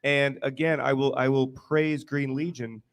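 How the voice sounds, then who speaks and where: Halting male sports radio co-host, medium pitch. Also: flat delivery. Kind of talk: casual sports chat.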